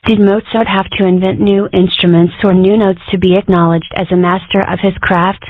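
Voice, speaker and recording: A woman's voice: mid-range voice, fairly even intonation, normal speed, no room sound, narrow, tinny audio, close to an everyday voice. A woman voicing an opinionated, mischievous animal girl in a comic dub. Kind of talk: comic dub character acting.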